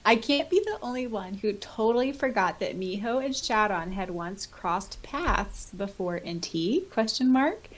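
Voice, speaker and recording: A woman's voice: medium pitch, animated, unhurried, hardly any pauses. A woman speaks as a podcast co-host in a casual, conversational way. She reads comments aloud and chats about them, with a light, giggly manner. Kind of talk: casual chat, reading out listener comments.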